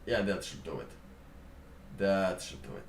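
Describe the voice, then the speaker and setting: Low, slow-talking man rambling on stream. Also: lively intonation, creaky, slight background noise. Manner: rambling, halting, many fillers and restarts. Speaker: live streamer. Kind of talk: casual live stream chat. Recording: roomy, distant mic with faint ambience.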